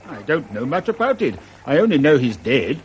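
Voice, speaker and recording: An actor playing an indifferent Victorian businessman, brisk and flippant, in a radio drama. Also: mid-range voice, sing-song, slight background noise, natural voice, low-quality recording. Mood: flippant, amused.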